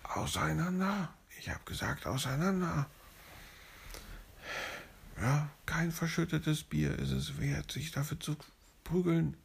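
A man voicing a bossy male parrot police officer who scolds officiously, in a gravelly husky voice. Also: a little high, big pitch swings, slow pace, pauses often, slight background noise, pitched above the performer's own voice, exaggerated voice. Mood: commanding.

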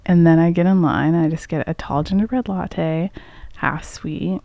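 A woman co-hosting a homemade podcast tells little everyday stories. Her voice has a creaky fry and is caught close on a good mic with a dry sound. She chats loosely, with fillers and her own thoughts acted out in quotes. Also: deep voice, normal speed, dry recording, dull audio. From Canada. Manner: chatty storyteller, fillers and quoted inner thoughts. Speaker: podcast co-host. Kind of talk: casual chat. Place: basement apartment. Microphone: studio microphone close up.

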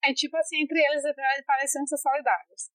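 Woman, fairly high voice, animated chatty storyteller, dry close sound. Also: fast, dry recording. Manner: chatty, animated storytelling with many fillers and restarts. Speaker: interviewee, foreign master's student in France. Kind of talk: casual conversational interview.

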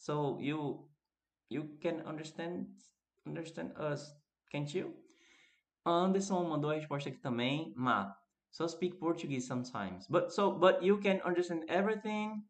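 Higher-voiced northeastern Brazilian man teaching. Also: unhurried. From Recife, northeastern Brazil. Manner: chatty, questioning, addressing viewers by name. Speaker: English teacher hosting a live online class. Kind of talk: casual interactive lesson, reading and answering chat messages.

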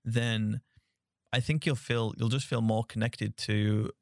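A man's voice: lowish voice, fairly even intonation, slow pace, a little mellow, no room sound, studio quality. A young man hosting a podcast, chatting in a friendly, filler-heavy way. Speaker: podcast host. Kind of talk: casual interview chat.

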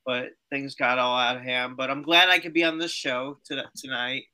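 A man's voice: medium pitch, flat delivery, bright, forceful tone, clear, resonant tone, rough recording. A man chatting as a podcast guest. He sounds tired and a bit nasal, and he stumbles and repeats words. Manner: halting, repeats words, jokey. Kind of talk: casual chat.

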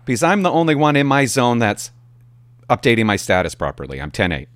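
A middle-aged man, a former police officer, telling work anecdotes casually in a halting, animated way and acting out the dialogue. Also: mid-range voice, dry recording. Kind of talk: casual anecdotal storytelling to viewers.

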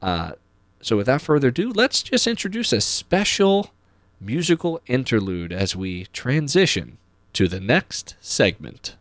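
Lively male podcast host with animated pitch. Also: measured pace, dry recording. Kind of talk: podcast presenting, chatty announcements.

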